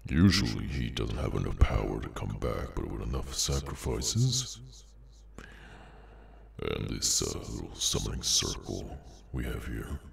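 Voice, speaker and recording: Man voicing a very deep, gravelly demonic henchman. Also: big pitch swings, heavily acted. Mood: matter-of-fact menace. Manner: slow, low-key, casually sinister, sardonic. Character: menacing, weary male demonic henchman with tendrils, cultist. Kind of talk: ASMR audio roleplay.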